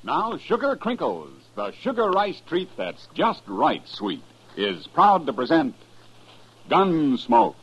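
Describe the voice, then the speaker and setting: An American man announcing on 1950s radio in scripted, folksy, emphatic pitchman style. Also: very animated, takes it slowly, a bit bright, husky. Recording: muffled old radio broadcast.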